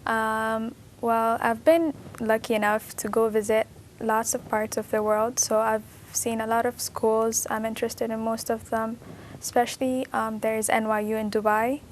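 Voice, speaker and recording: A teenage exchange student from Ethiopia answering interview questions in a measured, thoughtful way. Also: female voice, fairly high pitch, level tone, slight background noise. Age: teens. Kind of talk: studio interview. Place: TV studio.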